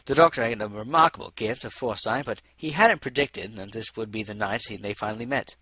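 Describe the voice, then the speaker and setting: A man's voice: on the low side, a little fast, sharp tone, husky, dry recording. A man narrating a scripted story as an audiobook reader.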